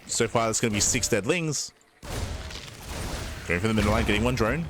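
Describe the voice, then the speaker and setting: A man from Australia with a mild Australian accent giving fast, chatty live commentary on a strategy game, with lots of fillers. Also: on the low side, background noise. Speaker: esports caster. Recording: music playing behind.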